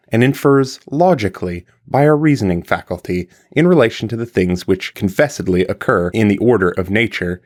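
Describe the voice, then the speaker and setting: Male narrator for classical philosophy audiobook: lowish register, highly varied intonation, formal and deliberate phrasing. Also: darkish tone. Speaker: reader of a philosophical text. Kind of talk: scripted audiobook-style reading of an archaic philosophical translation.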